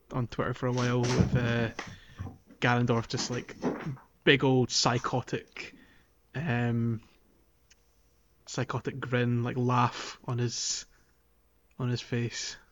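A man co-hosting a casual gaming podcast, recapping in a halting, stammering way with frequent false starts. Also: medium pitch, monotone, dull audio, background noise. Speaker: podcast co-host. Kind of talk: casual game recap chat.

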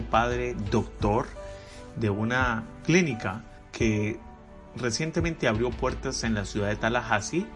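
A man hosting a podcast, introducing his guest and asking questions in an upbeat, encouraging way. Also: on the low side. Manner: upbeat, encouraging, short affirmations. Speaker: podcast host. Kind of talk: podcast interview.

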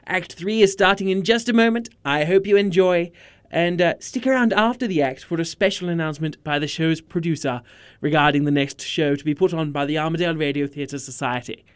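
A man's voice: on the high side, fairly quick, clear, resonant tone. A man working as a radio announcer, reading a scripted introduction to a broadcast play.

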